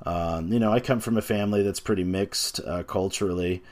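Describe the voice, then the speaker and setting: Brief: man about forty, game designer being interviewed; lowish voice, thoughtful, halting delivery full of "you know" and "um.". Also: a little mellow. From North America. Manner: reflective, hesitant, full of pauses and fillers. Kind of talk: casual interview talk.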